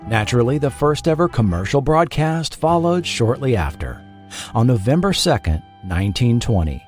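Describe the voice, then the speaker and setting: An American man hosting a podcast with scripted documentary narration, deliberate and dramatic at the ends of phrases. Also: mid-range voice, darkish tone. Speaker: podcast host.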